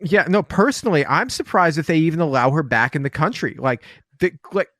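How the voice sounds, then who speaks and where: A man's voice: fairly high pitch, very animated, brisk, fairly bright tone, dry recording, clean studio sound. A man co-hosting a podcast, joking around with sarcastic asides. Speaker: podcast co-host. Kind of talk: casual podcast chat.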